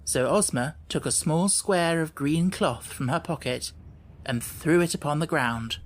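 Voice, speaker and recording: A man's voice: fairly high pitch, a little slow. A man narrating a children's story as a scripted audiobook reading.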